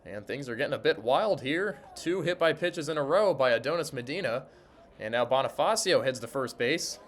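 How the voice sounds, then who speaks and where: A man's voice: medium pitch, expressive, moderate pace, slight background noise. A man calling baseball play-by-play for radio in clipped, descriptive phrases. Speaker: sports broadcaster, interviewer and play-by-play announcer. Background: ambience of a sparsely filled ballpark.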